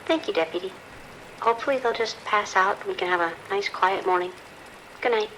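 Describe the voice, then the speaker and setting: Tired caller played by a woman: polite, mid-pitched, bright tone, light background noise. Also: brisk, close to an everyday voice, low-quality recording. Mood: weary, polite. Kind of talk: audio drama acting.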